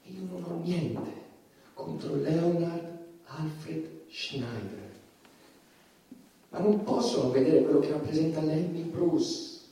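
An anguished judge delivering a theatrical monologue, declamatory and self-justifying. The voice sits fairly high for a man and rings through a very echoey space, caught at a distance from the microphone. Guilt and defiance run through a stage delivery. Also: takes it slowly, vocal fry, a little hiss or hum. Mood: bitter, brooding. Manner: declamatory, with swings from hushed to shouting.

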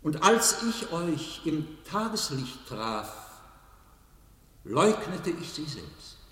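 A solemn, godlike male figure in a theatrical radio drama. His delivery is slow and declamatory, with sweeping, dramatic changes of pitch. The voice sounds distant, in a large echoing hall. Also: sing-song, fairly bright tone, exaggerated voice. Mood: impassioned. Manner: solemn, declamatory, rhetorical repetition. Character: godlike, majestic man addressing his old comrades. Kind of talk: theatrical German radio play acting. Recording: echoey hall with long reverb, distant mic.

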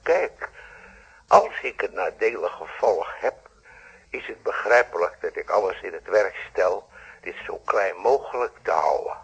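An actor with a Netherlands Dutch accent playing a coolly insistent, blackmailing moneylender in a radio play, measured and falsely polite. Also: male voice, low voice, expressive, a bit bright, husky, exaggerated voice. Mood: coolly insinuating. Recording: dull, band-limited old radio play.

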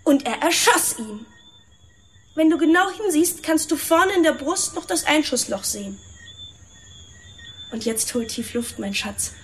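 Higher-voiced, poised young heiress. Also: female voice, animated, unhurried, long pauses, a little hiss or hum. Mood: coolly amused. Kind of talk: radio drama acting.

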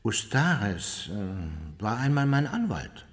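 An actor playing an older Nazi war criminal under interrogation in a radio drama, giving terse, evasive, defiant denials. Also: male voice, lowish voice, very animated, takes it slowly. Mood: evasive, guarded. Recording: reverberant interrogation room.

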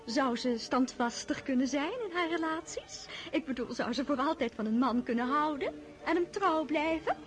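A woman's voice: sits high, lively intonation, moderate pace, faint music behind. An actress playing a prying young noblewoman who asks coy, gossiping questions. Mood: probing.

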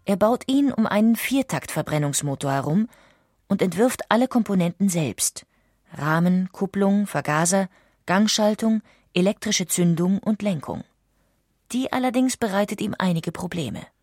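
A woman's voice: mid-range voice, expressive, normal speed, darkish tone, no room sound, studio quality. A woman delivering scripted documentary narration as a narrator.

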